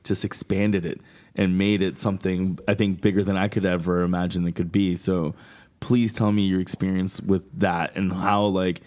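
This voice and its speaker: Male podcast host, casual and slangy, enthusiastic fan energy, quick to laugh. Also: low-pitched. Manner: excitable fan talk, slangy, laughs often. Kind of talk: casual interview chat.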